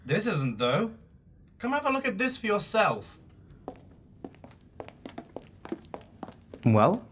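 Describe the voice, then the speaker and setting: A man's voice: sits high, wildly swooping pitch, a little slow, fairly bright tone, full character voice. A man playing a flippant supernatural investigator in an audio drama, with a wry, arch, theatrical manner. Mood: dryly amused.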